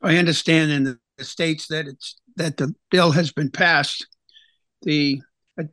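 A man's voice: on the high side, fairly even intonation, a little slow, sharp tone, dry recording. A man hosting a radio talk show, asking questions in a casual, conversational way. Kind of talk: radio interview.

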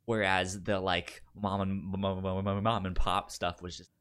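A man co-hosting a casual film chat, speaking informally and haltingly with a stammer that repeats sounds and syllables. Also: sits low, level tone, fairly bright tone, clear, resonant tone. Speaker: film podcast co-host.

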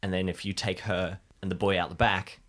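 A man's voice: sits low, level tone, fairly quick, fairly bright tone. A man playing an eager, flustered park ranger in an improvised audio drama, earnest and prone to repeating himself. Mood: determined.

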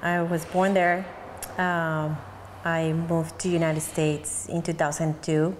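A woman's voice: deep voice, flat delivery, slow. A woman from Mexico with a Mexican accent, in her forties, talking thoughtfully and searching for words in an oral history interview. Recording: long hall reverb, faint background noise.

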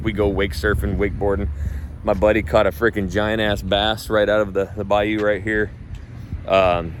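A man with a low voice talks bluntly outdoors, and he swears often and hits his points hard. Faint traffic noise from the street runs under his speech. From North Idaho. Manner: blunt, profane and emphatic. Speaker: real estate agent and coach. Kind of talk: casual talk.

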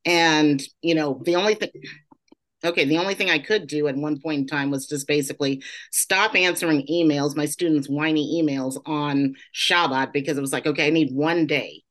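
A middle-aged woman with a cold and a gravelly voice, giving a casual, rambling reflection as a participant in a class discussion. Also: sits low, moderate pace, fairly bright tone. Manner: rambling, with frequent "like" and trailing "and everything". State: has a cold.

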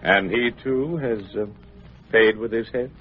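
Guy acting a measured roman governor type, old radio drama, tinny sound with music behind. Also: mid-range voice, close to an everyday voice. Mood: dry, probing. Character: measured Roman official, smooth and probing.

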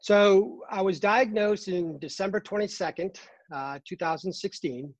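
A man in his fifties with a Boston accent, a guest in a casual interview, talking earnestly with many pauses and fillers. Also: sits high, no room sound. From Boston, Massachusetts. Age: 50s. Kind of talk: casual interview over a call.

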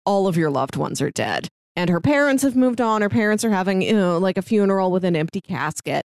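A woman's voice: medium pitch, lively intonation, a little fast, darkish tone, dry recording, clean studio sound. A woman co-hosting a podcast, giving a casual, conversational review and recap. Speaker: podcast co-host.

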